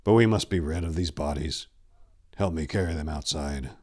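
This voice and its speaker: A stern warrior monk who leads his brothers, acted out by a male narrator. He speaks with forceful authority in a dark fantasy tale, a man used to being obeyed. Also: very deep voice, normal speed. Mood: grim, calm.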